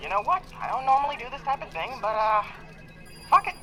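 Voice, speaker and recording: Woman acting a bright, brash, excitable dealmaker. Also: sits high, a little fast, soft background music, poor audio. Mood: brash, excited. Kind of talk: audio roleplay voice acting.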